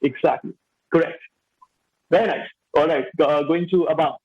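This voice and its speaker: A man hosting a panel discussion, upbeat, prompting each guest with quick praise. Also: a little high, fairly even intonation, husky. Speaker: host. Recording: dull lo-fi audio.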